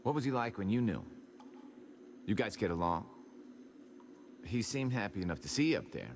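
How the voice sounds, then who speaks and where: An actor playing a reasonable, probing survivor in a video game drama, level-headed and resigned. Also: male voice, on the low side, sing-song, moderate pace, background noise. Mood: thoughtful.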